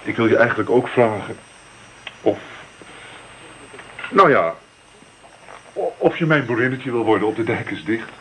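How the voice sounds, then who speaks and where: An actor playing a shy, tender, hesitant suitor in a Dutch radio drama. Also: male voice, mid-range voice, animated, measured pace, pauses often, a bit bright, a little hiss or hum, poor audio. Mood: tender, nervous.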